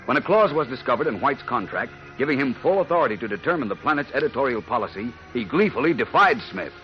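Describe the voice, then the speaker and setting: A man's voice: mid-range voice, big pitch swings, fast talker. A man narrating a 1940s radio serial in a dramatic, declamatory announcer's style. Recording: dull old radio broadcast recording. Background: music.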